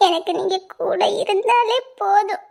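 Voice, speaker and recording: A woman acting a tender young woman in love, soft and tearful, in a romantic drama. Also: very high voice, a little slow, creaky, exaggerated voice. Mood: tender, consoling.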